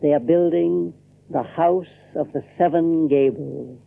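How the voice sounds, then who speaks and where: Theatrical, brooding gravel-edged storyteller. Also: male voice, a little high, a little slow. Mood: hushed excitement. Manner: theatrical, rhetorical, emphatic repetition. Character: brooding storyteller descended from stern Puritans, challenging the listener. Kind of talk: dramatic audio drama narration addressing the listener. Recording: muffled lo-fi tape with loud music.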